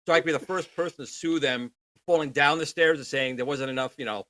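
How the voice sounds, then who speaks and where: A man's voice: fairly high pitch, quick pace, bright, forceful tone, dry recording. A man chatting casually as a panelist on a gaming news show, in a rambling, jokey manner. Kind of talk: casual chat.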